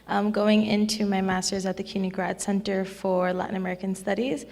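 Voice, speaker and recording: A young woman on a student panel, earnest and a little hesitant, at a middle pitch. Her voice rings with a long hall reverb. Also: flat delivery, a little fast, gravelly, darkish tone. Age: young adult. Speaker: panelist, recent graduate. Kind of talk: casual panel talk. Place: conference hall at a college.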